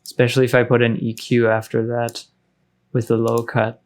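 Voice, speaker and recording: A man narrating a casual software tutorial as a music production tutor, informal and explanatory in manner. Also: mid-range voice, completely flat delivery, moderate pace, slight background noise.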